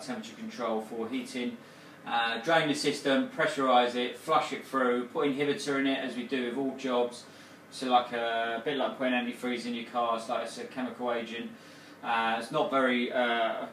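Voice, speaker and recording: A man's voice: mid-range voice, a bit bright, far from the mic, a little hiss or hum. A man in his thirties who runs a plumbing business, casually explaining a new boiler in a small home kitchen, with lots of fillers and restarts. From England. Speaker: plumbing company owner. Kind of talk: casual product walkthrough. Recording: roomy kitchen sound, voice a little off-mic.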